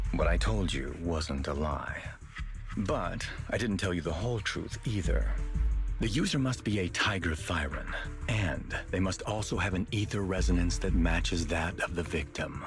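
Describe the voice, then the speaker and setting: A cold, cynical schemer from a video game, with a low voice. He talks in a dry, measured way and sounds condescending. Music sits faintly behind him. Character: cynical, cold male tiger-clan schemer, condescending mastermind.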